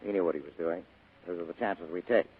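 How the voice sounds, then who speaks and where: A man's voice: low-pitched, fast, husky. A man playing a wry, tough, cynical private detective in a 1940s radio drama, slangy and sardonic. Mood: cynical, probing. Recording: narrow, tinny old radio broadcast.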